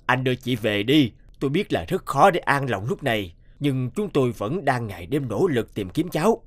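A man's voice: mid-range voice, sing-song, normal speed. An actor playing an earnest, considerate man in a moral cartoon drama. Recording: music under the voice.

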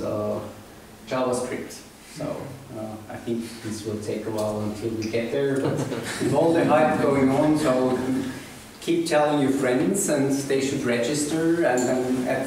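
A middle-aged man gives a casual presentation in a small meeting room, pausing often with fillers and restarting his sentences. His voice stays flat in pitch. He is heard from a distance, with strong room echo and clear background noise. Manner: hesitant, many fillers and restarts. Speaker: meetup organizer presenting. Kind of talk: informal presentation talk. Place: small meeting room with seated audience, indoors. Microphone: room camera from the back of the audience. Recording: echoey room, distant from camera, noisy.

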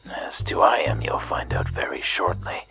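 A woman playing a menacing anonymous caller in a detective drama, in a gravelly whisper. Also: rumbling low, very animated, moderate pace, a bit bright, soft background music, heavily acted. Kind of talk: radio detective drama acting.

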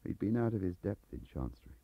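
A man's voice: sits low, very animated, a little fast, husky, no room sound, exaggerated voice. A man voicing an aggrieved, lonely middle-aged English clerk who rambles defensively, in an audiobook on a dull cassette recording. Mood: wistful, confessional.

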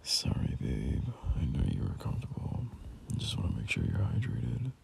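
Man acting as a tender young boyfriend, ASMR roleplay, gravelly creaky whisper, gentle hesitant reassurance, faint rumbling noise. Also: wildly swooping pitch, moderate pace, exaggerated voice. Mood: tender, reassuring. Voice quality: breathy, whispery, gravelly low murmur. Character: caring, soothing young boyfriend tending a sick partner. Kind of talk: boyfriend roleplay ASMR, soft half-whispered intimate talk.

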